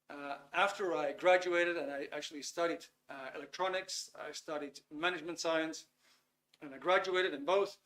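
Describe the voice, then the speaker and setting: A man's voice: on the high side, sharp tone. A man in his fifties with a Dutch accent, hosting a live webinar in English, talking at an easy pace and sometimes searching for words. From Netherlands. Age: 50s. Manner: unhurried, searching for words, many fillers. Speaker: webinar host.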